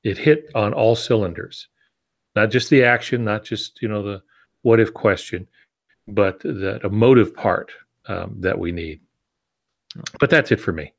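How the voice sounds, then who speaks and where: Older male panelist, sixties, low voice, casual talk, dry earbud-mic sound. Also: a little slow, creaky, long pauses, no room sound. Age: 60s. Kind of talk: casual chat. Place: indoor home office, on a video call. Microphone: earbud headset.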